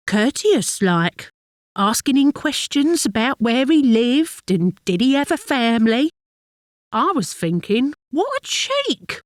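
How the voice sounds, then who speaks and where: An actress playing a chatty working-class London wife in a dramatic monologue, fond and mildly exasperated, confiding in a gossipy, colloquial way with a London accent. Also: female voice, fairly high pitch, no echo, studio quality. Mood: confiding, impressed. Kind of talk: dramatic monologue, conversational storytelling.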